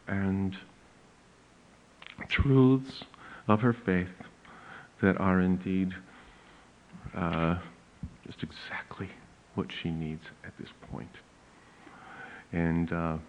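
A pastor with a big voice, giving a slow, deliberate lecture on church hymns with emphatic rises. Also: male voice, low voice. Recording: narrow phone-like audio.

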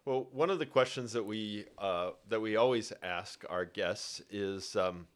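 A male podcast host with a fairly low voice. He asks his guest long, winding questions. He searches for words and fills pauses with frequent ums. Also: clear, resonant tone. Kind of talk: interview questions.

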